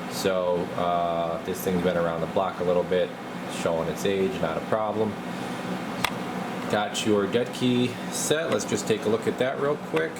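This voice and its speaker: A man's voice: low-pitched, unhurried. A man giving a casual, folksy, slangy repair walkthrough as a radio technician. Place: indoor radio repair workshop. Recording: steady clear background noise.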